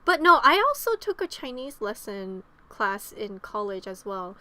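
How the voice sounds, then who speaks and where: A woman's voice: medium pitch, big pitch swings, measured pace, sharp tone. A young woman from Los Angeles, co-hosting a podcast in casual chat, rambling with fillers and restarts. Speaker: podcast co-host.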